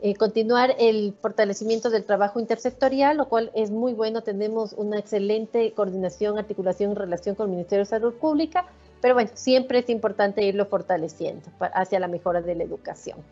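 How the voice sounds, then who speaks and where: Middle-aged woman, mellow tone, steady formal presentation, muffled lo-fi audio. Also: medium pitch, soft background music. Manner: measured, reads points in order. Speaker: national coordinator of hospital classrooms. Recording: dull lo-fi video call audio.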